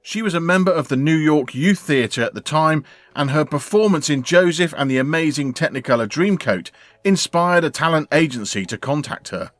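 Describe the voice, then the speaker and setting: A man narrating a scripted video in an even, read-out delivery. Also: on the high side, moderate pace, dry recording. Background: faint background music.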